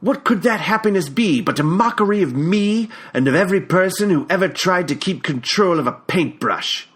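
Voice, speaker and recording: A narrator doing a stern older British gentleman, an artist who sounds wounded and scornful. He speaks in a pompous, indignant way, leaning on his words slowly and a touch higher than his usual voice. It is a storybook character voice. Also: animated, husky, exaggerated voice. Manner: pompous, indignant, drawn-out emphasis. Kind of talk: audiobook character voice.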